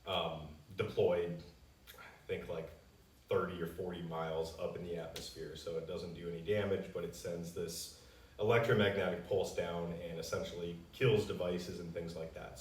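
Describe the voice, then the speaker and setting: Company rep, male, American, low register, plain-spoken casual explainer, echoey distant pickup. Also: a little mellow. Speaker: company representative answering customer questions. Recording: roomy echo, distant microphone.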